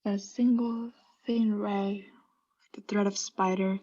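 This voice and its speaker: A woman playing an obsessed mad murderer in a theatrical radio play, confessing intensely in hushed whispers and frantic outbursts. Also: medium pitch, level tone, takes it slowly, highs cut off. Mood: hushed, creeping dread.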